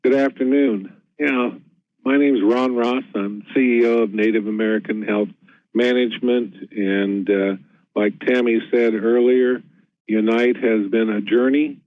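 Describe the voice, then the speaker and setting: A man speaking as a chief executive at a conference, steady and measured, with many "uh" fillers. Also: medium pitch, no room sound. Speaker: health management CEO. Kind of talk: conference presentation. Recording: tinny phone-line audio.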